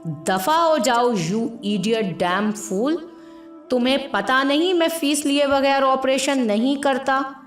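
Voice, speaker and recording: An arrogant, short-tempered doctor from a moral tale , acted out by a woman narrator. He scolds curtly and scornfully, with slow delivery in an echoey room. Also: high voice. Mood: angry, scornful. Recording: roomy echo with music behind.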